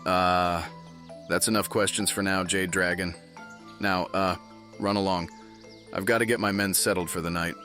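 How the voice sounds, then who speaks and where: A man playing a gruff, wry, fatherly commander in a fantasy audio drama, speaking haltingly. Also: low-pitched, steady tone, pushed and bright, faint music behind. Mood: wry, weary.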